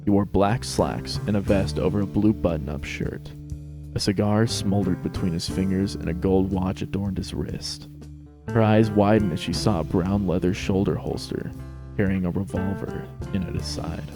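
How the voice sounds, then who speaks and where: A man's voice: low voice, fairly even intonation, normal speed, music in the background. A man narrating a scripted story as a dramatic reading.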